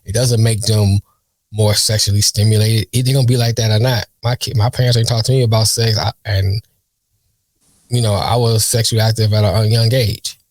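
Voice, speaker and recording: A man's voice: lowish voice, little pitch movement, fairly quick, dry recording. A man co-hosting a casual chat, musing aloud in rambling thoughts and laughing often.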